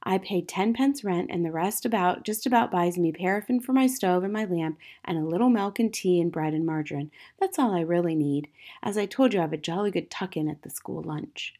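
A woman playing a gentle, modest young schoolteacher in a storybook, confiding in a soft, restrained way with quiet resilience. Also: fairly low pitch, animated, fairly quick. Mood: quietly proud.

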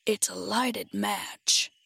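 A woman reader puts on a storybook scarecrow, a simple, earnest straw man. The voice is husky and creaky, the words drawn out slowly and politely. It is recorded very dry and close. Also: mid-range voice, steady tone, pushed and bright, no echo, exaggerated voice. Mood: friendly, confiding. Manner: slow, drawn-out, polite delivery.

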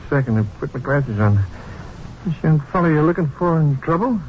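Man acting a folksy, higher-voiced small-town optometrist. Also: expressive, moderate pace, close to an everyday voice. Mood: obliging, chatty. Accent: American. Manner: folksy, chatty. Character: obliging, folksy, slightly edgy small-town male optometrist. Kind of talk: 1950s western radio drama acting. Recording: muffled, hissy old radio recording.

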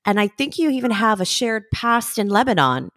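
A woman's voice: a little high, very animated, normal speed, fairly bright tone, dry recording, studio quality. A woman interviewing a guest, asking questions in a conversational way and following up on his answers.

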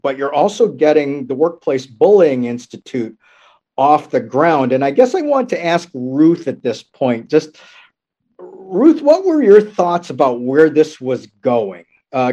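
A man who is a law professor hosting the conversation, asking questions in a measured way and hedging with fillers. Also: on the high side, mellow, muffled recording. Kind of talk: interviewer's questions.

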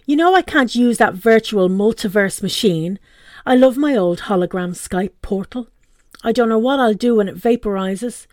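A woman's voice: fairly high pitch, normal speed, darkish tone, no echo, lightly acted. Exasperated, old-fashioned storybook mum, indignant and demanding, close dry sound, read by a woman. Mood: fretful.

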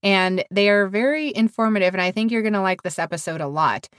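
A woman's voice: medium pitch, fast, a bit bright, no echo, clean studio sound. A woman co-hosting a podcast in casual chat, a little nasal from a cold, chatty and giggly. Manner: chatty, giggly, self-deprecating. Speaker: podcast co-host.

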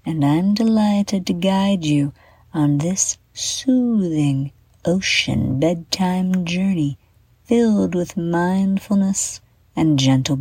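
A woman guiding a children's bedtime meditation in a soft, breathy half-whisper, gently and soothingly, with deliberate pauses. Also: fairly low pitch, no room sound. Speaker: meditation guide and show host.

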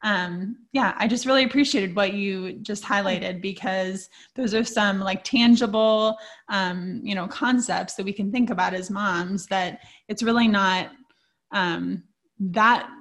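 A woman's voice: mid-range voice, measured pace, a bit bright. A woman hosting an interview, responding thoughtfully with fillers. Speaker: host. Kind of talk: conversational interview.

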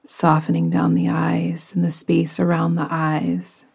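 A woman guiding a relaxation meditation in a soft, breathy, partly whispery voice with a soothing, gentle, unhurried manner. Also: on the low side, completely flat delivery. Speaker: yoga nidra meditation guide.

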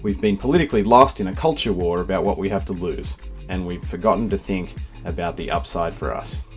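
A man from Sydney with an Australian accent, reading a scripted introduction to his own audiobook as its author. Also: low voice.

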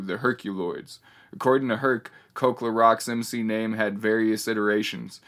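A male podcast host with a fairly low voice and very little melodic movement. He delivers a solo monologue in a casual, laid-back way, dropping in hip-hop slang. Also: fairly bright tone.

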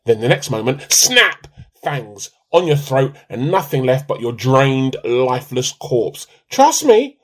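A man's voice: mid-range voice, takes it slowly. A man, playing a timid, comically earnest, melodramatic husband in a radio play. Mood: dark, melodramatic. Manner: pompous, excitable. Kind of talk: amateur radio play dialogue.

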